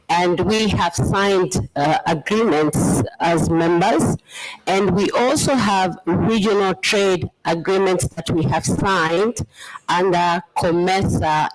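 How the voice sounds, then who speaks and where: Slow-paced Zambian presenter, sex unclear. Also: mid-range voice, poor audio. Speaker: government trade official and presenter. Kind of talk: formal slide presentation.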